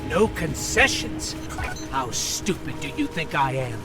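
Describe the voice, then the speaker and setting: Small anxious robot sidekick for a video game. Animated, earnest, loud score underneath. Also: male voice, a little high, unhurried, loud music behind the voice. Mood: indignant. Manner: expressive, worried, earnest. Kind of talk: dramatic video game companion acting.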